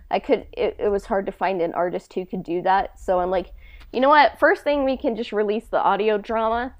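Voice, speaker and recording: A woman's voice: mid-range voice, a little mellow, no room sound, highs cut off. A woman podcaster being interviewed, chatting casually and reflectively in a modest, hesitant way. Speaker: podcast co-host being interviewed about own project.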